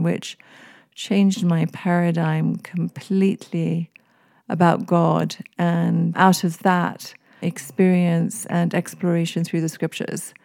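A woman from East Africa with an English accent talks as a guest on a podcast. Her voice sits low and warm. She chooses her words with care and phrases them formally. The recording is dry and close. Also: creaky, no room sound. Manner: thoughtful, articulate, formal phrasing. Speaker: guest author. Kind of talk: podcast interview.

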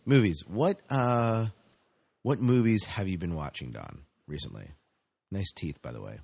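A man's voice: low voice, lively intonation, a little slow, vocal fry, a little mellow, no echo. A man hosting a podcast in casual, bantering chat about films and TV, often asking questions. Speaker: podcast host.

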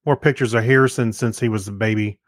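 A man's voice: lowish voice, fairly even intonation, quick pace, no echo, clean studio sound. A middle-aged man co-hosting a podcast, chatting casually and telling personal anecdotes. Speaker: podcast co-host.

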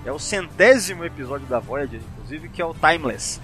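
A man's voice: a little high, animated, brisk, bright, forceful tone, soft background music. A Brazilian man hosting a casual podcast, chatting enthusiastically and swearing freely. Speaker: podcast host.

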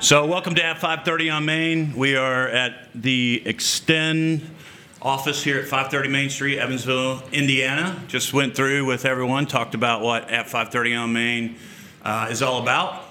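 Bright-toned male podcast host. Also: medium pitch, a little hiss or hum. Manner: relaxed, halting, many fillers. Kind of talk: casual interview hosting. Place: office.

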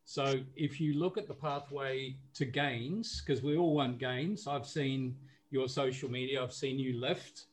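A man's voice: mid-range voice, fairly even intonation, unhurried. A man in his sixties from New Zealand with a New Zealand accent, a podcast guest talking casually with many fillers and restarts. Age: 60s. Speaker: guest, recovery business owner and coach.

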